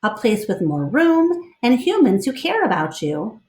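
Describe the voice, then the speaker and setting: Woman reading as gentle big gorilla, expressive. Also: fairly high pitch, measured pace, echoey room. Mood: earnest explaining. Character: big male gorilla narrator, gentle, thoughtful, protective.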